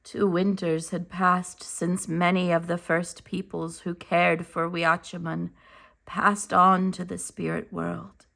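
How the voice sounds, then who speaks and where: A woman reading a children's picture book aloud as a teacher, enunciating carefully and deliberately. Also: on the low side, flat delivery, creaky, sharp tone. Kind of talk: slow, expressive children's read-aloud narration.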